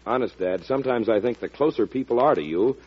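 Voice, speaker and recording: A man's voice: mid-range voice, quick pace, slightly rough, close to an everyday voice. An actor playing a President's earnest, exasperated son in a vintage radio drama, pleading as he talks. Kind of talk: 1940s-50s radio drama acting. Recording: dull vintage radio recording.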